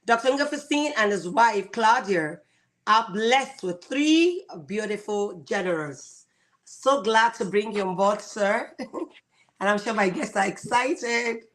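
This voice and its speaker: A woman's voice: mid-range voice, expressive, slow, fairly bright tone. A woman hosting an interview, reading a guest's introduction and asking questions with enthusiasm. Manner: enthusiastic, laughs often. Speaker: host.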